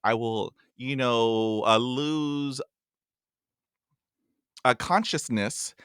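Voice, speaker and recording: A man's voice: mid-range voice, extremely slow, a bit bright, smooth, dry recording, studio quality. A man in his forties hosting a podcast interview, talking earnestly with a stutter and repeating himself for emphasis. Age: 40s. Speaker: podcast host.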